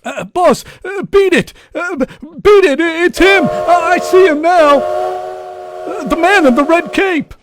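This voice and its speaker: A man playing a jittery, slow-witted criminal henchman who stammers and hesitates, in 1940s radio serial style. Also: very high voice, background noise. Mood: panicked.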